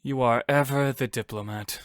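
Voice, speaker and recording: A man's voice: mid-range voice, fairly even intonation, moderate pace, clear, resonant tone, no echo, studio quality, lightly acted. A man playing a proud, stern king in an audio roleplay, speaking formally and with authority. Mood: formal, firm.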